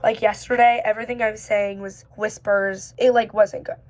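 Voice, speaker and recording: A woman vlogger with a hoarse voice, still recovering from losing it, who talks casually and thinks aloud with lots of fillers and self-questioning. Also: medium pitch, level tone, moderate pace, a little mellow. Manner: rambling and musing, many fillers and self-questions. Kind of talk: casual reflective talk to camera.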